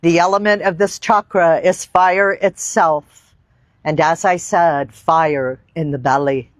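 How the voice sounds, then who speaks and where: Woman yoga teacher, unhurried and reverent, dry close sound, guiding breath and meditation. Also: on the low side, fairly even intonation, dry recording. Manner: slow, deliberate, reverent phrasing with long pauses. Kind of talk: guided meditation and yoga instruction. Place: outdoor park.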